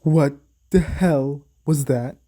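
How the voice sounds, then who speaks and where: A man's voice: fairly high pitch, takes it slowly, mellow, slight background noise. A man playing a bewildered, foul-mouthed police officer facing demons in an amateur audio drama. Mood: alarmed.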